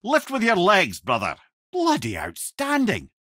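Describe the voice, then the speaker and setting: A garrulous old Scottish sage from a video game. His voice is husky and swings widely in pitch, close and dry. He talks with wry, eloquent wit, like a born storyteller. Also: huge pitch swings, unhurried, bright, forceful tone, no room sound, exaggerated voice. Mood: urgent, lively. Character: witty, garrulous, wise severed-head companion, older man.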